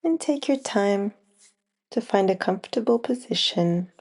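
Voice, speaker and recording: A woman guiding a yoga nidra relaxation, speaking in short, unhurried phrases with long pauses between them. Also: medium pitch, animated, faint music behind. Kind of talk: slow guided relaxation meditation.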